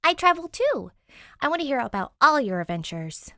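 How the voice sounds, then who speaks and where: A woman's voice: high-pitched, sing-song, moderate pace, bright, forceful tone, no echo, studio quality, voice pushed up, heavily acted. Woman voicing a frank, curious young girl. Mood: eager, friendly.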